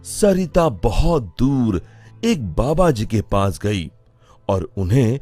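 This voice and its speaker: A man narrating a cartoon moral story in a measured, expressive way. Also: medium pitch, faint music behind.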